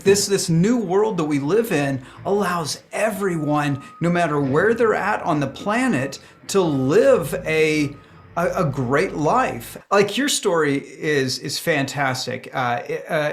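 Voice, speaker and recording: A man hosting a coaching call in an upbeat way, talking in quick bursts with frequent fillers. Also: a little high. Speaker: host and business coach.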